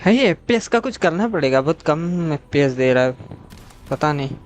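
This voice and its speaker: A man's voice: fairly high pitch, animated, very fast. A man with an Indian accent giving casual live gaming commentary, switching between Hindi and English. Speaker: livestreamer.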